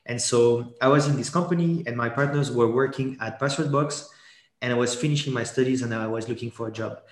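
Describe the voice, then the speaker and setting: A man with a French accent talks as a guest on an interview podcast, his pitch sitting in an ordinary middle range. He rambles and restarts his sentences often. The room around him sounds echoey and bare. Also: a little fast. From born in Geneva to French parents. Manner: rambling, many restarts and fillers.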